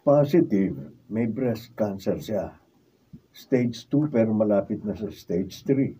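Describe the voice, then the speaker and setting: A man's voice: on the low side, animated, slow pace, mellow, husky, full character voice. A man playing a conscientious, uneasy doctor in a radio drama, earnest and a little hesitant. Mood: grave. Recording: dull, distant room recording.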